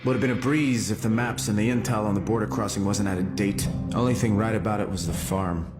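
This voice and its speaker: Man acting as hardened special forces operative, low voice, clipped and defiant, dramatic game cutscene, music behind. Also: steady tone, a little fast, lightly acted. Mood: matter-of-fact. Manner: terse, clipped, matter-of-fact. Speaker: video game character.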